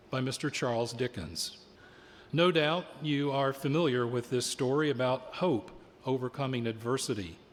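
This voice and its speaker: A man hosting a live radio drama from a stage, announcing in a formal, measured way with deliberate phrasing. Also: medium pitch. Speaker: producer and host. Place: on stage in a theater with long reverb. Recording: reverberant theater hall.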